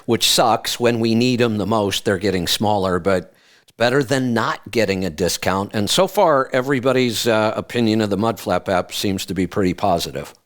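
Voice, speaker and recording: A man's voice: on the low side, normal speed. A man hosting a radio call-in show, talking casually with callers.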